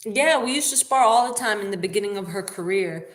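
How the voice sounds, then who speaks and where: A young woman from New York with a New York accent, a boxer chatting candidly in an interview, relaxed and quick to laugh. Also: medium pitch, low-quality recording. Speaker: professional boxer. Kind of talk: casual interview.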